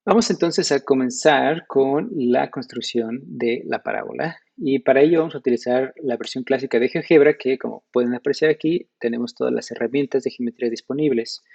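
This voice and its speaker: Gravelly Mexican man presenting slides. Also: medium pitch, darkish tone, muffled recording. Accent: Mexican Spanish. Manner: measured, explanatory, teacherly. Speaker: conference presenter.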